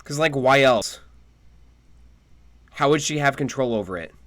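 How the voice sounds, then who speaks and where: A man's voice: mid-range voice, expressive, a little slow, fairly bright tone, no echo. A man streaming a video game, giving casual live commentary and musing aloud. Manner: muses aloud, repeats phrases, casual swearing.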